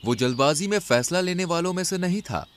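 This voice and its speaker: A man's voice: high-pitched, animated, a little fast, a little hiss or hum. A man narrating a scripted children's animal story.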